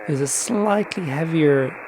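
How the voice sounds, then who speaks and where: A man's voice: a little high, a little slow, low-quality recording. A man giving a casual screen-share tutorial, thinking aloud and explaining step by step in an informal, exploratory way. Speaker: tutorial presenter. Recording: shortwave radio noise and chatter behind. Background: radio static, signals and faint voices.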